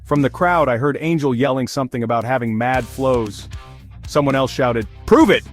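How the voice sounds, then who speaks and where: Man, storyteller, first-person fantasy narration, comedic script, average pitch, guitar music underneath. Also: steady tone, moderate pace. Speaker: narrator of an audio fiction series. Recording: music behind the voice.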